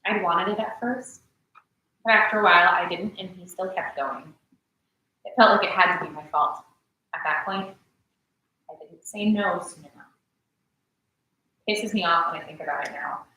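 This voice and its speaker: A woman's voice: lowish voice, normal speed, pauses often, pushed and bright, muffled recording. A young actress in a staged reading playing an intense woman, bitter about blaming herself. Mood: intense, pained. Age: young adult. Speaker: actor. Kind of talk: staged play reading, naturalistic drama. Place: large echoey indoor room. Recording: echoey hall, distant mic, faint noise.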